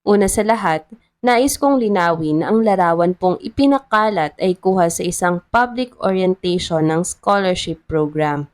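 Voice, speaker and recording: A woman with a Filipino accent voicing a strict, principled female town treasurer in a story, calm, formal and resolute. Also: on the low side, normal speed, lightly acted. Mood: calm, firm.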